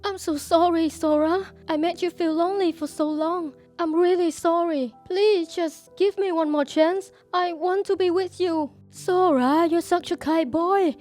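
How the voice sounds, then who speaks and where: A woman's voice: extremely high pitch, steady tone, unhurried, hardly any pauses, a little mellow. A woman voicing an apologetic, tender young mother in an emotional manga dub. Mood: apologetic, pleading. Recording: background music under the voice.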